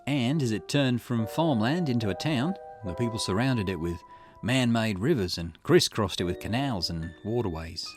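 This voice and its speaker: A shrewd, easygoing investigator, read by a man in a visual novel. The voice is a little low and very animated, musing and explaining with confidence, over clear background music. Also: normal speed, few pauses, natural voice. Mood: explanatory. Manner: conversational, speculative, explanatory. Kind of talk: reading visual novel dialogue aloud.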